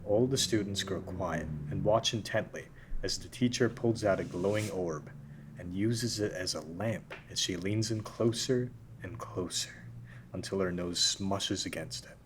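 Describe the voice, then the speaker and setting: Man, narrator, table read, deep voice, plain reading. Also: measured pace, noisy background. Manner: reads stage directions plainly.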